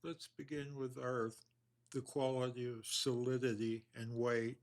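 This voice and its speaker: A man leading a guided body scan meditation in an unhurried, measured, soothing manner with long pauses. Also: medium pitch, flat delivery.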